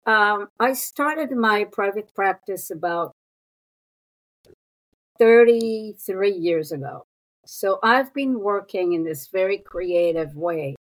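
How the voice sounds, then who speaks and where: A woman in her sixties from Israel, a psychotherapist speaking as an interview guest in an unhurried, thoughtful way with pauses and fillers. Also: medium pitch, a bit bright, low-quality recording. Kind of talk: reflective interview talk.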